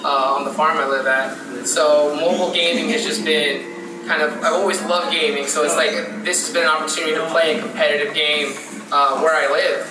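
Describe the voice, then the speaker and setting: A man's voice: fairly high pitch, little pitch movement, fairly quick, noisy background. A man in his twenties from rural Texas, a farmer who plays esports, talking in a casual, friendly way in a player interview. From Poteet, Texas, USA. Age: 20s. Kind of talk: pre-recorded player interview. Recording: roomy, distant, loud music.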